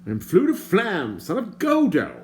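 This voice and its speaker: A man's voice: high voice, wildly swooping pitch, normal speed, a little mellow, a little hiss or hum, voice pushed up. A man voicing a wordy, theatrical male bard in an audiobook, courtly and self-mocking. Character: chatty, flowery wandering male bard.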